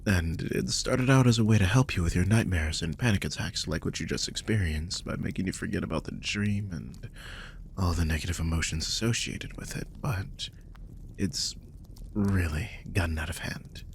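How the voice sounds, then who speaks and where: A man playing a protective, guilt-ridden magical guardian in an intimate roleplay audio drama, speaking softly and hesitantly in a husky, breathy voice that often drops toward a whisper. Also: low voice, normal speed, slight background noise. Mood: guilty, hesitant. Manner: soft, hesitant, soothing, with frequent sighs.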